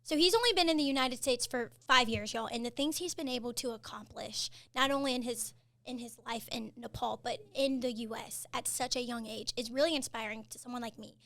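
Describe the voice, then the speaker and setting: A woman's voice: a little high, a little fast, a bit bright. A woman, interviewing a colleague in an enthusiastic, upbeat, casual way. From Louisiana, US. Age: 20s.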